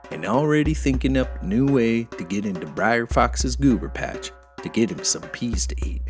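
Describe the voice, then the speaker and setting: A man telling a folktale as an expressive, folksy scripted narration. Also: medium pitch. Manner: folksy, animated. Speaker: storyteller.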